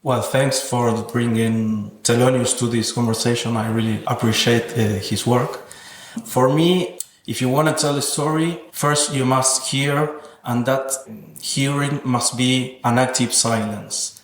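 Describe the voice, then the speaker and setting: A man's voice: medium pitch, steady tone, unhurried, a little hiss or hum. Man speaking evenly in an echoey room. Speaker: interviewed sound artist. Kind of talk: reflective interview about own work.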